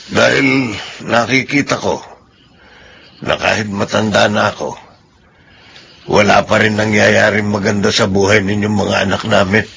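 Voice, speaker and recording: An older Filipino father in a radio drama, with a creaky, forceful voice over noticeable noise. He is weary and caring, gravely admonishing, disappointed in his children. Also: on the low side, fairly even intonation, unhurried, long pauses.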